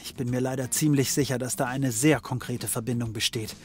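An actor playing a hard-drinking occult detective in a comedy audio drama, speaking in a casual, probing, slangy way. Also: male voice, mid-range voice, steady tone, a little fast, darkish tone, faint music behind, close to an everyday voice. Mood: businesslike. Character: male occult private detective, dry, hard-drinking, pale.

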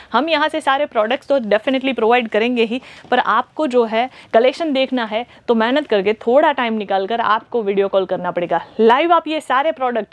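Young female shop host, a touch high-pitched, brisk and salesy, speaking to camera on a clip-on mic. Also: no room sound. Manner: upbeat, repetitive salesy patter. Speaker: shop host presenting clothing. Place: large clothing store, indoors.

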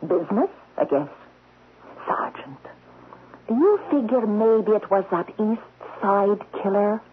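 An actress playing a talkative, blunt, folksy housekeeper in a radio mystery drama. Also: female voice, mid-range voice, very animated, slow. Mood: gossipy. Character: chatty, blunt housekeeper with a gossipy streak. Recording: muffled, noisy old radio broadcast.

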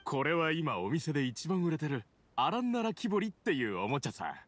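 A man's voice: a little high, sing-song, brisk, slight background noise. A man voicing a friendly, easygoing young market craft seller with welcoming sales patter in an anime game. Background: game music.

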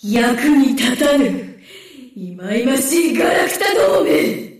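A woman voicing an imperious, scornful monster queen in a game, contemptuous and drawn-out under heavy echo. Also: fairly high pitch, expressive. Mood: gloating contempt. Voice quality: echoing. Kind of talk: Japanese game voice acting. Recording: very echoey, distant game clips.

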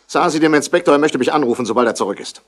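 A man's voice: a little high, expressive, very fast. An actor playing a haughty, irritable wealthy man in a 1960s radio play, speaking in a curt, formal way. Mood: irritated, indignant.

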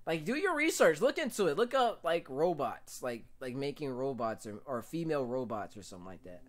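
A young American man hosts a casual reaction video from a small bedroom. His pitch swings widely as he comments, chatting loosely into a close desk mic. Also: big pitch swings, a little fast. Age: young adult. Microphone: close desk microphone with pop filter.

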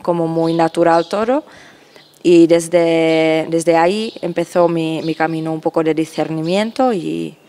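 Novice in her 30s, chill and friendly, handheld mic, on the low side. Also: steady tone, unhurried, dark tone. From Czech Republic. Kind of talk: interview answers. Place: cloister garden outdoors.

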